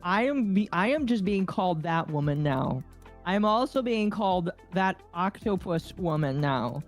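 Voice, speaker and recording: A woman's voice: fairly low pitch, expressive, a little slow. A woman chatting casually as a podcast co-host, with a teasing, mock-serious manner. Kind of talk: casual chat. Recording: background music under voices. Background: background music.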